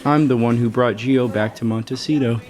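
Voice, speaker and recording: A man's voice: mid-range voice, fairly even intonation, a little fast, a little mellow, close to an everyday voice. An actor playing a cocky, needling rival businessman in an audio soap opera, with a smug way of talking.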